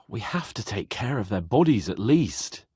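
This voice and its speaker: A man's voice: fairly low pitch, sing-song, slow pace, darkish tone, slightly rough, dry recording, studio quality, heavily acted. A man playing a haughty, hot-tempered young nobleman in a video game, with a British accent and a sardonic, indignant, posh manner. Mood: sober. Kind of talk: dramatic video game voice acting.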